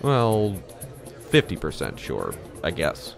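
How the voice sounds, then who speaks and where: A man's voice: low voice, huge pitch swings, slow pace, vocal fry, over music, full character voice. A man playing an earnest, brooding teenage boy detective in an audio drama, serious, polite and understated. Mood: dry, reserved.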